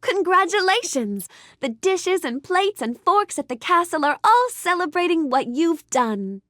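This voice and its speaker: A high-voiced, sweet young storybook heroine for a video game, acted by a woman. Her lines swing melodically with bookish curiosity and polite warmth, gentle and full of wonder, in a close, dry studio sound. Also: no room sound, lightly acted. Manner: gentle, wonder-filled, courteous, expressive delivery. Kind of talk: animated game character dialogue.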